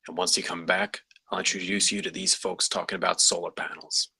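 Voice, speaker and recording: A man's voice: low-pitched, level tone, a little fast, gravelly, sharp tone, breathy edge, no echo, heavily acted, low-quality recording. A man reading the part of an easygoing, reassuring colleague in a script table read, with a wry, casual manner.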